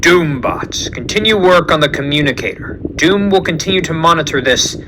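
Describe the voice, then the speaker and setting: A man's voice: on the high side, moderate pace, background noise, natural voice. A man voicing a scheming armored villain king who gives orders and speaks of himself in the third person. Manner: commanding, refers to himself by name. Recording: music playing underneath.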